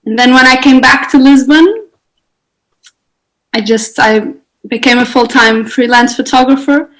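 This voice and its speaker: A woman's voice: sits high, a little slow, a bit bright, low-quality recording. Young woman, reflective. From Portugal. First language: Portuguese. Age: 20s. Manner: reflective, searching for words, light laughter. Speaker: guest, photographer. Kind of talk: conversational podcast interview.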